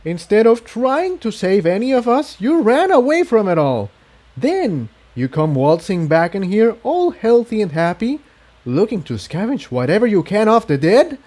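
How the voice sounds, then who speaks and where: A man's voice: high-pitched, very animated, moderate pace, a little mellow, pitched above the performer's own voice. A man voicing a bitter, sneering purple pony who snaps accusations with open contempt in a story reading. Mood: bitter, accusing. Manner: snapping, spitting contempt.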